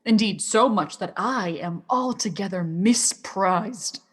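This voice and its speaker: A bitter, scheming villain in a Shakespearean radio play. The voice is mid-pitched and deliberate, nursing envy in slow classical lines. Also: female voice, slightly rough. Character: scheming, envious villain who hates his brother.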